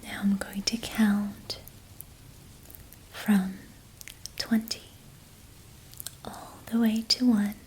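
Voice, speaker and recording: A woman whispers close and soft, guiding a sleep hypnosis countdown. Her pace is very slow and her pitch stays flat and even, with long pauses between words. Rain falls gently in the background. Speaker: ASMR host.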